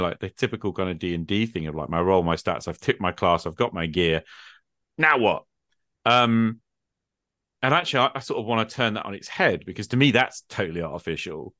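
Very low-voiced man in casual conversation. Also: brisk, fairly bright tone, dry recording, clean studio sound. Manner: thinks aloud with frequent "like" and "you know". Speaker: podcast host and game master. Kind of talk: casual conversation about tabletop gaming.

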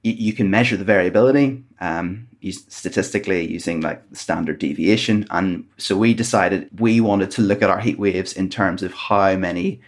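Low-voiced Northern Irish man. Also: normal speed, few pauses. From Northern Ireland. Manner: patient step-by-step explainer. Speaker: climate researcher. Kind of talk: explaining research in an interview.

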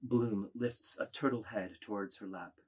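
A man reading scripted literary stage directions as narrator, in a measured delivery. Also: fairly low pitch, fairly even intonation, slightly rough.

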